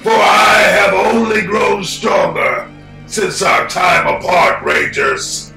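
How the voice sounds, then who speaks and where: An actor voicing an ancient, vengeful monster villain in an animated trailer, declaiming grandiosely with menace in a gravelly voice. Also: male voice, high voice, slow, full character voice. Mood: furious. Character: ancient vengeful male monster villain, theatrical, wrathful and gloating. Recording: echoing voice over music.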